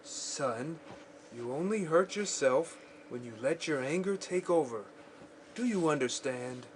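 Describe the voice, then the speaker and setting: A man playing a calm, patient father in a school radio drama, speaking deliberately and explaining things. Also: a little high, low-quality recording. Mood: patient, serious. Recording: faint background noise, home recording.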